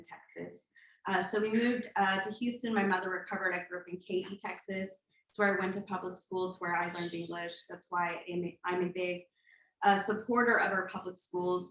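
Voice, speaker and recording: Woman candidate in an echoey hall. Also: fairly low pitch. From Colombia. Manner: earnest, steady, with frequent "uh" fillers. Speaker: political candidate. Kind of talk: campaign speech. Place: church meeting hall.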